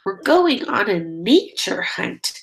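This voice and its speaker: A woman's voice: medium pitch, big pitch swings, slow, vocal fry. A middle-aged woman reading a picture book aloud as a teacher, expressively, drawing out key words and prompting young listeners with questions. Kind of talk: children's read-aloud.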